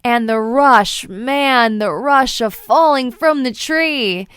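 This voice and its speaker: A woman's voice: on the high side, slow pace, a bit bright, smooth, no echo, clean studio sound. A woman narrating a children's storybook expressively, with playful, dramatic emphasis. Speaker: children's book read-aloud presenter.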